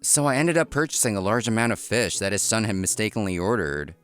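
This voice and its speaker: A man's voice: lowish voice, animated, fast talker, a bit bright, clear, resonant tone. A man playing an earnest, work-obsessed young restaurant owner who narrates his own manga story and gets flustered easily. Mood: earnest, reflective. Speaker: narrator and lead character. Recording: music behind the voice.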